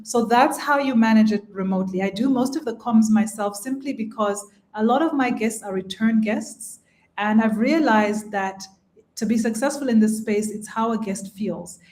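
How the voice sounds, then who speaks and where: A woman from Uganda explains her rental business to a panel in a calm, measured voice. She speaks at an ordinary pitch and keeps the tone businesslike and orderly. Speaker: presenting investor. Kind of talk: live panel presentation.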